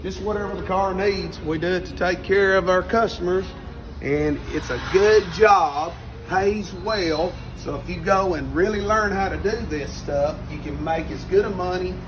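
A man's voice: high-pitched, normal speed, music in the background. A middle-aged man, a tire shop owner giving a folksy walking tour, recorded by a distant camera in a repair shop. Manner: folksy, encouraging, plain-spoken. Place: echoey auto repair shop. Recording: roomy, distant, noisy shop. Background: shop ambience and vehicles.

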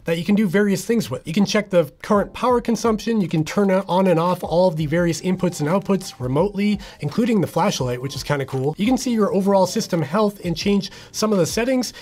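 A North American man in his thirties with a rather high voice presents a product to camera. He explains features in a conversational, casual way, like a channel host talking from his studio desk. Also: animated, brisk, hardly any pauses, a little mellow. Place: indoor studio room.

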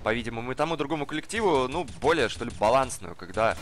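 A hoarse man commentating a live online game match in a casual, slangy way, speeding up during the action. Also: mid-range voice, lively intonation, racing, a little hiss or hum. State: hoarse from the cold. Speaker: esports commentator. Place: cold flat at home.

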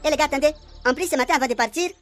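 A woman's voice: high-pitched, very fast, faint music behind, close to an everyday voice. A woman with a Réunionese accent voicing a naive, touchy young male island buddy in a local comedy radio soap, with defensive replies.

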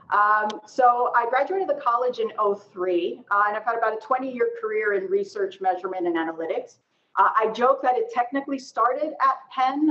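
A woman in her forties gives a conversational professional explanation as a panelist. Her pitch is average, and the video-call sound from her home room is slightly dull. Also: fairly bright tone. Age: 40s. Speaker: panelist, research executive.

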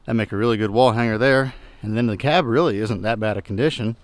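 Laid-back junkyard guy, lower voice. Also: fast talker, dry recording. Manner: relaxed, plain-spoken, trails off quietly at the ends of remarks. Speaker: junkyard owner and channel host. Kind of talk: casual vlog walkthrough. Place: outdoor junkyard and inside a pickup truck.

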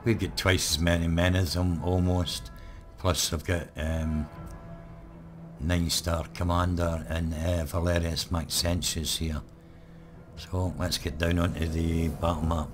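A man, hoarse and croaky, giving relaxed live let's-play commentary full of ums. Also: sits low, measured pace, over music. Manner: relaxed, rambling, many ums. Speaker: gaming channel host.

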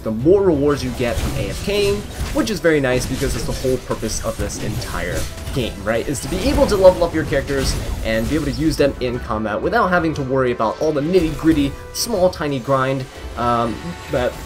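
Lively male gamer doing casual commentary. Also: medium pitch. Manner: upbeat, reacts aloud. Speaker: gaming content creator. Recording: game music behind voice. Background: video game music.

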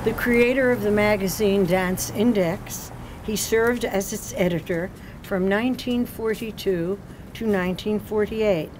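A woman's voice: mid-range voice, measured pace. An older woman hosting a ceremony, reading formal introductions from notes at an outdoor podium with small slips. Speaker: ceremony host. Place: outdoors on a city street by a doorway. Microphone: podium mic. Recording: outdoor street noise.

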